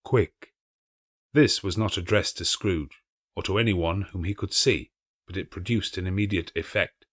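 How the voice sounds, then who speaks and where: A man reading scripted literary narration as an audiobook narrator, in a measured, expressive storytelling manner. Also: low voice.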